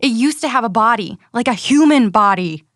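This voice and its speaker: An earnest young woman runner in a cyberpunk audio drama. Her voice is fairly high and dry, insistent and protective in every plea. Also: lively intonation, normal speed, fairly bright tone, no room sound. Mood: urgent, determined. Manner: pleading, insistent.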